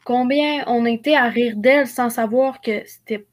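A woman's voice: fairly high pitch, moderate pace. A young woman with a Quebec French accent, telling things in a slangy, casual way on a group video call. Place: indoors at home, on a video call.

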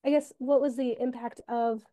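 A young woman moderating over a video call, enthusiastic and encouraging, with a slightly high, mellow voice. Her sound is dry and close, with little room echo. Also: steady tone, normal speed. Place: room with old monitors, indoors.

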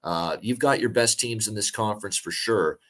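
A young man with a fairly low voice breaks down a matchup over a video call. He talks steadily and freely, padding his points with filler words as he thinks aloud. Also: moderate pace. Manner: steady, talkative, many "uh" fillers. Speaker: sports betting podcast co-host. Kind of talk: casual sports betting analysis. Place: home room, indoors.

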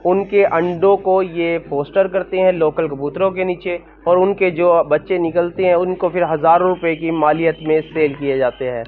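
A man's voice: on the high side, fairly even intonation, moderate pace, hardly any pauses. A young man with a Pakistani accent presenting a vlog as host and interviewer. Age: young adult. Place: outdoor farm yard. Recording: dull lo-fi sound, background music. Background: music.

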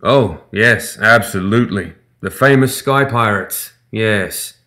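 A man's voice: lowish voice, slow pace, pushed and bright. A man doing a meek, nervous engineer. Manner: meek, hesitant, eager to please. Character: nervous placating male airship engineer.

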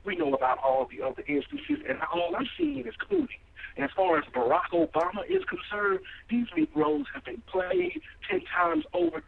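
A man's voice: extremely high pitch, creaky, a little hiss or hum. A young man, calling in over a muffled, echoey phone line and talking in an impassioned, rambling way. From Mississippi. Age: young adult. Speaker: caller. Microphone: speakerphone.

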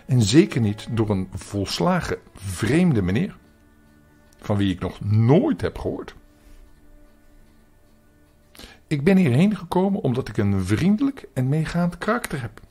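A man plays a haughty, dreamy storybook she-cat in a lifted voice. She is prim and dignified, pronouncing each word with great care and a lively melody. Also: mid-range voice, voice pushed up. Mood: dignified indignation. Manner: prim, extra clearly articulated. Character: dignified, dreamy female cat, easily affronted by noise.

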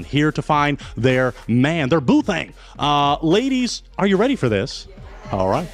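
Young man, game show host, slightly high voice, showy teasing delivery, handheld mic in studio. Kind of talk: playful game show hosting.

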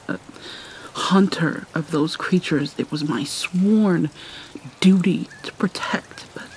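Casting: woman to play haunted vampire mermaid princess for ASMR drama; slow, theatrical, breathy near-whisper, light rainy ambience behind. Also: sits low, slight background noise. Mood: grieving, bitter. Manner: halting, theatrical, mournful. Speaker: roleplay voice actor. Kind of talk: dramatic ASMR roleplay monologue.

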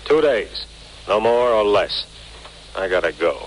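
A man's voice: fairly low pitch, expressive, measured pace. An actor playing a suspicious, blunt male police detective in 1940s radio drama style. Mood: suspicious, needling. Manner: blunt, terse, needling. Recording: faintly noisy 1940s radio recording.

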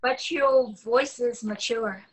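A woman's voice: fairly high pitch, slow pace, rough recording. A woman playing a wry, wary young online dater in a film script read-through on a video call. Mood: skeptical, wry. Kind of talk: actor reading a script at a table read.

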